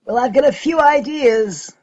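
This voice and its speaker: A man's voice: very high-pitched, normal speed, darkish tone, muffled recording, slight background noise. Guy acting as a breezy wannabe detective dude, cocky, gets defensive, comedy audio play. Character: cocky, impulsive young man playing amateur detective.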